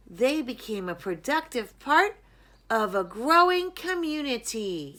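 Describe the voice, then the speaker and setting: A woman reading a children's story aloud in an expressive, deliberate storytelling manner. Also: sits high. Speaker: storybook reader. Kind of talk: scripted children's story narration, read aloud.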